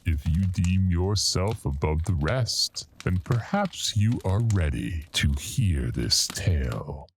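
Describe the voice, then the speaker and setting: An actor playing a sinister, all-knowing otherworldly storyteller in a horror radio drama, theatrical, deliberate and solemn. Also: male voice, low-pitched, sing-song, slight background noise, exaggerated voice. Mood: grave, foreboding. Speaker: anthology narrator.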